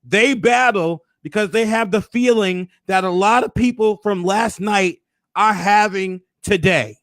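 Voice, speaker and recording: A hoarse man hosting a casual recap, hyping things up with preacher-like flourishes. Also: sits high, unhurried, a bit bright, no room sound. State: hoarse, losing his voice. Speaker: host.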